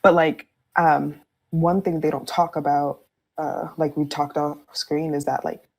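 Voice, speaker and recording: A woman with a low, husky voice and plenty of vocal fry talks casually on a podcast. She explains her views candidly, in loose, informal phrasing. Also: flat delivery, a little slow, a little mellow.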